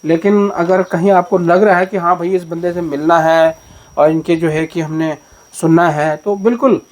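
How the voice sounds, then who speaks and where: High-voiced uttarakhand guy hosting a chat, hyped up, praising a lot, lots of fillers. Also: fairly even intonation, normal speed, darkish tone. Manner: enthusiastic, full of praise, many fillers. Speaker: vlogger, interviewer. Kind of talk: casual interview.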